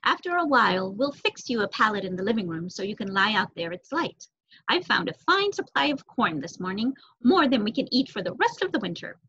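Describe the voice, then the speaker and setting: Woman reading as a worried motherly mouse from a storybook, soothing, husky, bit lo-fi. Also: mid-range voice, very animated, brisk, sharp tone, heavily acted. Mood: tender, reassuring. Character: anxious, tender mother mouse, caring and reassuring. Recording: dull lo-fi recording.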